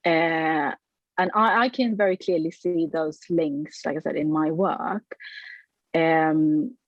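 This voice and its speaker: A middle-aged woman from Sweden, a potter answering interview questions thoughtfully, with frequent pauses, fillers and restarts. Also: sits low, steady tone, dry recording, highs cut off. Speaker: ceramic artist, interviewee.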